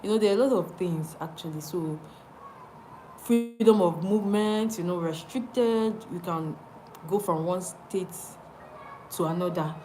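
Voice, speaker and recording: Low-voiced Nigerian woman chatting live. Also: measured pace, low-quality recording. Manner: reads viewer comments aloud and answers them, repeating phrases as she thinks. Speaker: live streamer. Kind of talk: casual live-stream chat with viewers.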